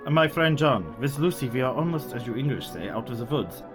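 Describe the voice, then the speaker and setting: A man's voice: medium pitch, lively intonation, brisk, lightly acted. Man acting a courteous old foreign professor over music. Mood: confiding. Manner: formal, inverted foreign phrasing. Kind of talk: radio play acting.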